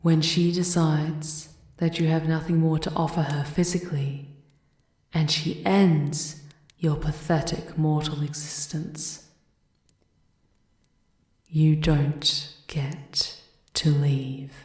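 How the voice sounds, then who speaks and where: Woman as scornful royal advisor, slightly deep voice, slow delivery, formal, ominous, echoey recording, fantasy ASMR drama. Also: steady tone, darkish tone. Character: bitter, scornful female castle advisor and sorceress. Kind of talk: ASMR fantasy roleplay acting. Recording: echoey, reverberant room sound.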